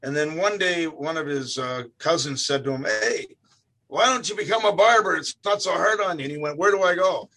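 Older Canadian guest, rambling anecdotes, a touch higher-pitched than most men. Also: male voice, moderate pace, gravelly, rough recording. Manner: rambling, repeats words, animated when quoting. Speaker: guest musician. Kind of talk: relaxed storytelling.

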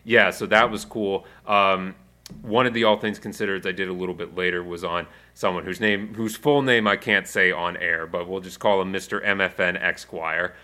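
A man's voice: low-pitched, moderate pace. A man hosting a community radio show, chatting casually with many fillers and asides. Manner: relaxed, rambling with fillers. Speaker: radio show host and music coordinator.

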